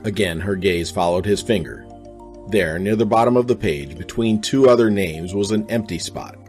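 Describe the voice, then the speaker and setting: A man's voice: on the low side, normal speed, a little mellow, faint music behind. A man reading scripted narration for a radio drama.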